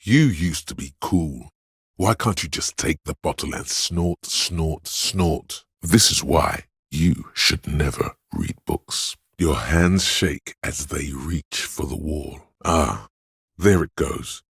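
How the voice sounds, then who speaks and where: An extremely low, very husky male voice of vice for a video game, sleazy and theatrical. He coaxes like a hedonistic tempter, unhurried and indulgent, in a close, dry recording. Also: lively intonation, fairly bright tone, no echo, full character voice. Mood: urging, goading, then wistful, intrigued. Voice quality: gravelly, husky. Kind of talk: video game character voice lines.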